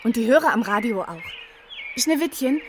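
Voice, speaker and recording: Woman playing a brisk, high-voiced meddling helper. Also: lively intonation, slight background noise, lightly acted. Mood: brisk, helpful. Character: brisk, bossy, meddling female radio helper. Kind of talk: children's radio play acting.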